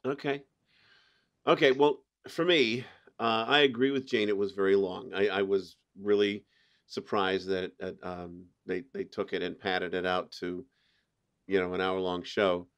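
A man's voice: low voice, flat delivery, a little slow, a bit bright, smooth. A middle-aged man hosting a podcast, giving his review in casual conversation. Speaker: podcast host.

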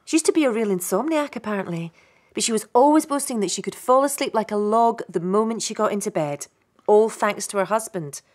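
A woman's voice: mid-range voice, brisk, a little mellow, natural voice. A woman acting in a radio drama as a defensive, gossipy stage actress being questioned, speaking expressively. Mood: confiding, gossipy.

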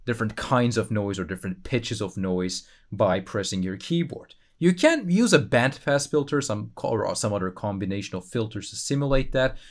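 A man with a fairly low voice narrates a screen-recorded software tutorial. He explains each step informally, thinking aloud in a casual, conversational way. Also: animated, moderate pace, few pauses. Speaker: tutorial presenter.

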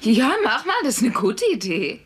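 A woman's voice: a little high, sing-song, normal speed, heavy background noise. An actress playing a wry, sarcastic working-class mother in a dubbed sitcom. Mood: wry, matter-of-fact. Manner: dry, sarcastic. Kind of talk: German sitcom dubbing.

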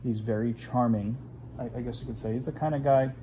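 A man's voice: medium pitch, fairly even intonation, brisk, warm tone, a little hiss or hum. A man in his forties, hosting a casual home video, talking in a rambling, chatty way with plenty of fillers. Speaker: host. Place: living room at home, indoors.

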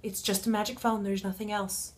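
A woman in her twenties chatting casually to camera in a halting, informal, self-correcting way, as a book vlogger. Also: mid-range voice, flat delivery. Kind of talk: casual vlog chat. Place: small room at home.

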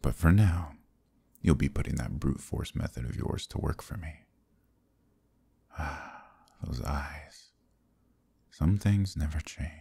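Seductive demon king villain, ASMR roleplay: rock-bottom pitch, breathy, smug and quietly menacing. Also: exaggerated voice. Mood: possessive, taunting. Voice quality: very deep, gravelly, breathy. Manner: slow, smug, softly threatening. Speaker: voice actor.

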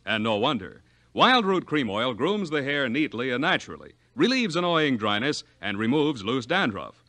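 Male announcer, American, forceful bright tone, swooping intonation, polished 1940s sponsor read, faint music. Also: mid-range voice, sing-song, moderate pace, no echo. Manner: polished, emphatic. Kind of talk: 1940s radio sponsor announcing, scripted sales pitch. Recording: old 1940s radio broadcast.

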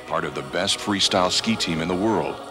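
A man narrating a sports promo in a deep, dramatic way. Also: brisk. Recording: music bed, distant sound, VHS tape.

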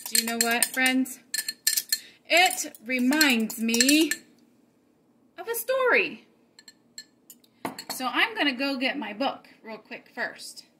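A woman preschool teacher reading a children's storybook aloud and chatting to her young audience in a playful, exaggerated, expressive way. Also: extremely high pitch, very noisy. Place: home kitchen, indoors.

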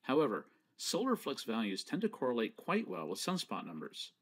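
Man lecturing with a lively pitch. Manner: measured, explanatory and precise. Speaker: presenter. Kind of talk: scripted slideshow lecture.

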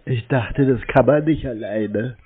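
A man's voice: mid-range voice, unhurried, husky. Naive-sounding man on a tinny phone line. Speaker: prank call recipient playing along. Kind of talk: casual phone chat. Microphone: telephone.

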